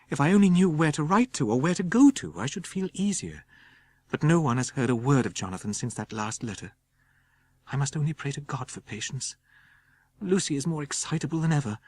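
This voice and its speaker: Male narrator as worried young english lady reading her diary, gentle and careful, really varied intonation. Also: fairly high pitch, slightly rough. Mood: anxious dread. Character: anxious young Englishwoman confiding in her journal. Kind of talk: dramatic audiobook reading.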